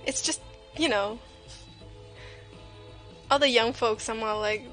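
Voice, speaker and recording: Playful higher-voiced woman over music. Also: takes it slowly, fairly bright tone. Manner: playful, jokey, self-deprecating. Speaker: livestream host, artist. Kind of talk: casual livestream chat while drawing. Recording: music playing behind the voice.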